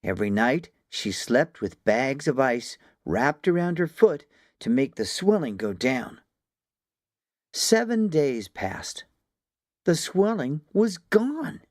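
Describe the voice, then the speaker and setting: A man narrating a children's story from a script, with expressive, deliberate phrasing. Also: mid-range voice, no room sound, clean studio sound. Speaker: audiobook narrator.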